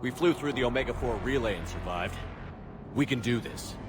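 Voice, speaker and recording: An actor playing a resolute military commander in a video game, with an urgent, commanding manner. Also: male voice, mid-range voice, expressive, normal speed, natural voice. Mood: steady. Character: resolute human military commander rallying his squad. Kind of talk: dramatic video game acting. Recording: loud music and effects behind. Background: loud music, vehicle noise.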